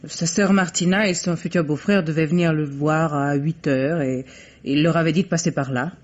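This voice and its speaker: Poised female private secretary: crisp, guarded, formal, old radio drama on muffled tape. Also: sits low, fairly even intonation, moderate pace. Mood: composed, curt. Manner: crisp, curt, formal replies. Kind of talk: vintage French radio mystery acting. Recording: dull, vintage radio recording.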